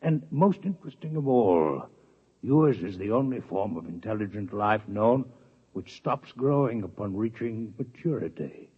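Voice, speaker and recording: Low-voiced alien doctor, breathy and husky, precise formal speech, 1950s radio play. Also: male voice, animated. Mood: measured. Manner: precise, formal, unhurried diction. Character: calm, formal male alien doctor from another planet. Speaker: visiting alien scientist. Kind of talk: 1950s radio drama acting. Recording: dull lo-fi 1950s radio broadcast.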